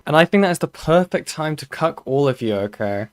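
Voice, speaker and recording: A hoarse male streamer who is losing his voice, wrapping up his stream in a chatty way and tacking "okay?" onto his sentences. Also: a little high, expressive, a little fast, no echo, faint music behind. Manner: chatty, keeps adding "okay?". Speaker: streamer host. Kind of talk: casual stream sign-off.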